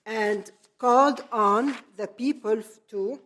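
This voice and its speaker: A middle-aged woman from Lebanon giving a semi-improvised public lecture, phrasing deliberately and stressing key words. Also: a little high, fairly bright tone, a little hiss or hum. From grew up in Lebanon. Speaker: speaker, activist lecturer.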